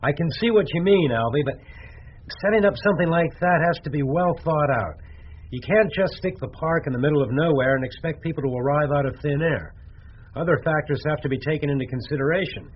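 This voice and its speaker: An actor playing a polite, skeptical city businessman in a radio drama, speaking in a courteous, measured, dry way. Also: a little high, muffled recording, a little hiss or hum, close to an everyday voice.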